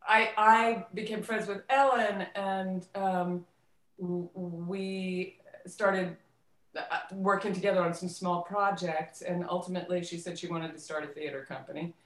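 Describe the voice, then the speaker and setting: A woman's voice: fairly low pitch, fairly even intonation, a little slow. A middle-aged American woman reminiscing casually as an interview guest, in a reflective, conversational way that searches for details. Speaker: interview guest, theater actress and director. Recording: roomy, echoey voice pickup.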